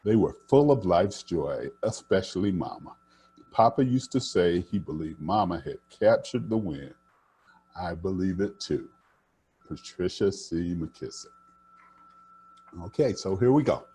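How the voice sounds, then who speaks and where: An older man reading aloud to children in an expressive, animated way, sometimes stumbling and rereading a phrase. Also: deep voice, unhurried, slightly rough. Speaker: storytime host reading picture books.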